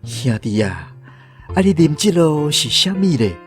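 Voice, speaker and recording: A man's voice: a little high, big pitch swings, a little slow. A man acting in a Taiwanese radio drama as an earnest, troubled lost soul who questions and ponders as he searches for peace. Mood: doubtful, searching. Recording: music bed under the voice.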